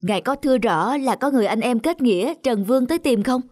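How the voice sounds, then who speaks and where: A woman's voice: a little high, animated, fast, darkish tone, dry recording, clean studio sound. A woman voicing a humble, wry young male commoner in a cartoon folk tale. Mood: anxious waiting. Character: humble, wry young commoner man seeking old friend.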